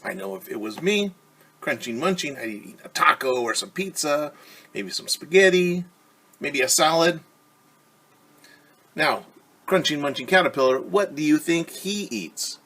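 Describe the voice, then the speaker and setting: Slow-paced, husky man reading at storytime. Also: a little high, animated, sharp tone. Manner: prompting listeners with questions, explaining simply. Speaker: teacher reading a storybook to children. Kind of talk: storytime read-aloud with simple explanations.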